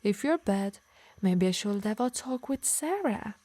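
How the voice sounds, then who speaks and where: A woman voicing a possessive, doting yet menacing harpy in an intimate ASMR roleplay, teasing and coaxing softly, often in whispers. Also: mid-range voice, lively intonation, measured pace, dry recording. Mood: possessive, controlling. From Italy. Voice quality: breathy, soft. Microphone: close, dry microphone.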